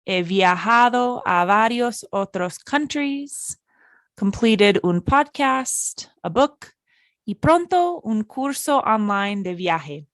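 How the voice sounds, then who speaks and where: Slow, measured woman teaching. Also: medium pitch, animated, no echo. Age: 30s. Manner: slow, deliberate reading. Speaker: Spanish teacher and podcast host. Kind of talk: scripted bilingual story narration for learners.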